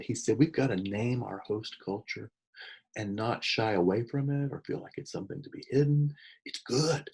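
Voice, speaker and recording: A middle-aged man speaks in a low, dark and mellow voice with a husky edge. He talks without a script about church work, stopping often for fillers and restarting sentences. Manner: halting, with many fillers and restarts. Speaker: church consultant and author. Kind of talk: unscripted talk on church ministry.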